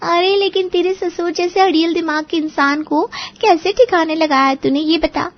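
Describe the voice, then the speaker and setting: A woman's voice: extremely high pitch, moderate pace, muffled recording. A woman voicing a nosy, teasing young friend in a Hindi cartoon story. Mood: teasing, curious.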